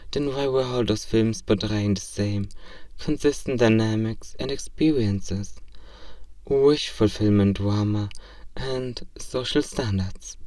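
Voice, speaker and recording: A man's voice: medium pitch, a little mellow, no echo, recorded from a distance, lightly acted. A man voicing an earnest, curious robot learning about human love in a comedic role-play, speaking in formal, literal, stiltedly polite phrases. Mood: puzzled, apologetic. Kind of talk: ASMR role-play comedy audio drama.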